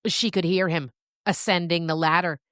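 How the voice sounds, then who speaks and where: A woman with a low voice tells a story as a podcast narrator. Her phrasing is expressive and deliberate, with dramatic pauses, in a close, dry recording. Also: no room sound.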